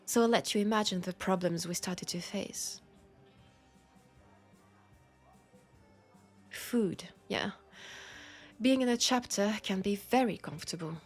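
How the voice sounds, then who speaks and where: A woman playing a lonely, centuries-old female vampire in an intimate audio drama, with a French accent and a soft, confiding, unhurried delivery. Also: medium pitch, faint music behind, close to an everyday voice. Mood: dark amusement. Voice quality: soft, breathy, often dropping to a whisper. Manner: unhurried, confiding, pausing between phrases.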